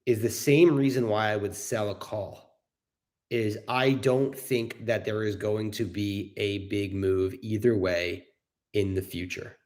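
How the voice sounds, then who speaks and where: A man's voice: lowish voice, darkish tone. A middle-aged man giving casual, opinionated market commentary as an investor hosting a discussion, explaining his points with emphasis. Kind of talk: casual off-the-cuff market commentary with audience questions.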